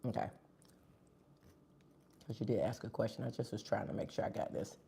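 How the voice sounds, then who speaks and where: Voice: medium pitch, steady tone, fairly quick, vocal fry, dark tone, slight background noise. A live-stream host chatting casually between bites of food, sniffling. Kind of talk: casual live-stream chat while eating.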